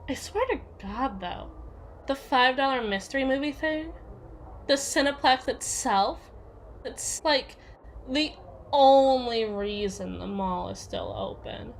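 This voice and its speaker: A woman's voice: high voice, lively intonation, takes it slowly, gravelly, slight background noise, exaggerated voice, poor audio. A woman playing a domineering, possessive, jealous young yandere in a scripted ASMR roleplay, with a sardonic, needling delivery. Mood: wry, grumbling. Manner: sardonic, foul-mouthed, needling, with hesitant trailing repeats.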